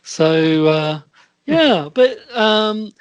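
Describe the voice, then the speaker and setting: A male podcast host chats casually at an unhurried, slow pace. He speaks haltingly and lets sentences trail away before picking them up again. The sound is dry, with hardly any room around it. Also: high-pitched, animated, clear, resonant tone, dry recording, highs cut off. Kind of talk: casual chat.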